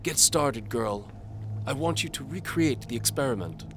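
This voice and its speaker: A man's voice: mid-range voice, animated, moderate pace, fairly bright tone, slight background noise, pitched above the performer's own voice, close to an everyday voice. A man voicing an imperious, cold duke in an audio drama, barking out commands. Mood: impatient, obsessed.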